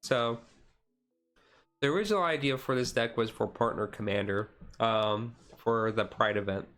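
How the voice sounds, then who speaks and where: A man streaming casual, chill card game commentary in a halting, rambling way with frequent asides. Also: fairly low pitch, fairly bright tone, faint music behind. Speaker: streamer host.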